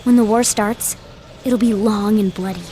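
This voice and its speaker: A woman's voice: mid-range voice, fairly even intonation, normal speed, dark tone, a little hiss or hum, natural voice, poor audio. A woman playing an earnest young ally in a fantasy war video game cutscene. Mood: grave. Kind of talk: English dub video game cutscene acting. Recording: prominent music behind.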